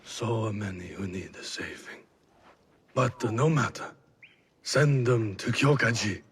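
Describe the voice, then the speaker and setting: An actor playing a weary, remorseful leader in a video game, speaking gravely with a husky, gravelly voice and halting delivery. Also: male voice, lowish voice, expressive, slight background noise. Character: weary, remorseful, solemn male leader doubting his cause. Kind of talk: dramatic video game acting.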